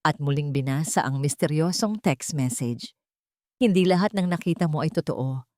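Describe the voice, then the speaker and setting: A woman's voice: low-pitched, a little mellow, no echo, studio quality. A woman giving a dramatic, scripted narration of a story.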